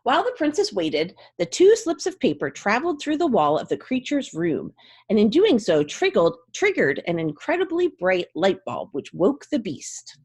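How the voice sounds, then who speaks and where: Female narrator for a dramatic storytime: husky mid-pitched voice, hugely varied intonation, theatrical delivery. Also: moderate pace. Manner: theatrical, expressive.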